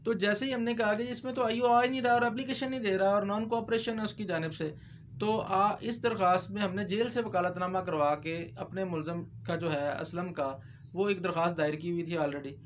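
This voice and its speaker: A man's voice: high voice, steady tone, a little fast. A man explaining legal procedure as a lawyer in discussion, mixing in English legal terms. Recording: clear background noise.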